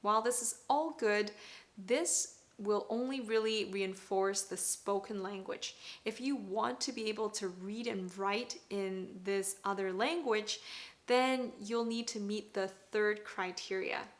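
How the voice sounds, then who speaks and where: A woman's voice: medium pitch, unhurried. Upbeat Cantonese-native woman vlogger. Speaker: parenting vlogger. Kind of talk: scripted talk to camera.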